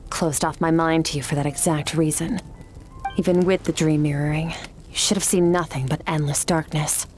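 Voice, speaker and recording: A woman playing a cool, aloof otherworldly swordmaster in a video game, stoic and blunt, with a measured, detached delivery. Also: sits low, fairly even intonation, a little mellow, faint music behind, close to an everyday voice. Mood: guarded, resigned. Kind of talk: video game character dialogue.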